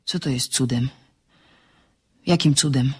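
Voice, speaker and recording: A woman playing an inquisitive, insistent amateur sleuth in an audio drama, slightly husky, questioning and explaining briskly. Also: extremely low pitch, a little mellow, heavily acted.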